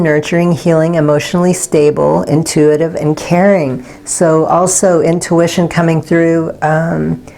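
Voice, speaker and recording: Low, mellow woman reading tarot. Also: creaky. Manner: soft, unhurried and gently reassuring, pausing to search for words. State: slightly raspy from not talking all day. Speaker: tarot card reader. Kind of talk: pick-a-card tarot reading.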